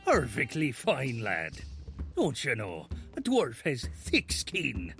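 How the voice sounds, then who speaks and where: An actor playing a hearty, kindly bearded dwarf blacksmith in a fantasy audio drama, with a jovial, avuncular, theatrical manner. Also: male voice, mid-range voice, wildly swooping pitch, slow pace, creaky, fairly bright tone, exaggerated voice. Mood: reassuring. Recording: music under the voice.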